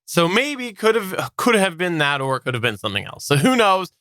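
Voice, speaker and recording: Bright, animated male podcast host. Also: high-pitched, a little fast, no room sound, studio quality. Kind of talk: podcast presenting, structured.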